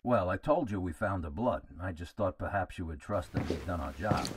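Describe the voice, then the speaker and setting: A man acts a police officer in a radio drama. The voice is on the low side, with plain, matter-of-fact delivery. He comes across as skeptical and defensive. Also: expressive, brisk, breathy edge, no echo.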